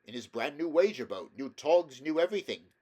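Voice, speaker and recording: A man as a blustery storybook otter, pitched up. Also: big pitch swings, a little fast, a little mellow. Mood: gossipy, amused. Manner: blustery, chummy, chatty. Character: hearty, gossipy, sociable male otter, a good fellow. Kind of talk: storybook character voice in a read-aloud.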